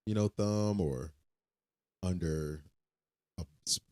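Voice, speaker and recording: A man's voice: sits low, slow pace, darkish tone. A young man co-hosting a casual faith-based podcast, earnest and reassuring, often repeating himself as he works through a thought. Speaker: podcast co-host.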